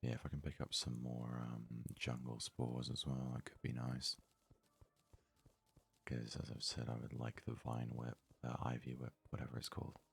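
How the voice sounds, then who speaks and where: A man with an extremely low voice full of heavy vocal fry. He murmurs breathy, husky game commentary in an ASMR style, unhurried and thinking aloud as he plays. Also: monotone. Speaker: let's play host.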